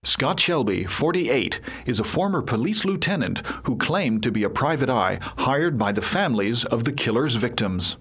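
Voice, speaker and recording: An actor playing a male TV news anchor, reading the headlines in a measured, formal broadcast manner. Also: medium pitch, expressive, fairly bright tone. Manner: crisp, measured broadcast delivery. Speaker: newsreader. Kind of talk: scripted TV news read.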